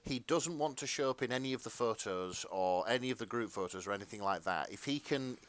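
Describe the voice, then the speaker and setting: A man's voice: medium pitch, expressive, fairly quick, no echo. A man co-hosting a podcast, chatting casually in a rambling, hesitant way. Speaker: podcast co-host. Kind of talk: casual chat, anecdotes.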